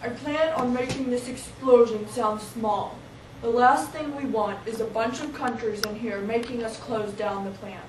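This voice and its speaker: A calculating Soviet leader in an amateur school play, acted by a teenage girl. The voice is fairly high. It is picked up from across an echoey room. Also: steady tone, normal speed, natural voice. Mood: cool, calculating. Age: young teen. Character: calculating male Soviet leader downplaying a disaster. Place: small library office. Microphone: far camera. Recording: echoey room, distant camera mic, noise.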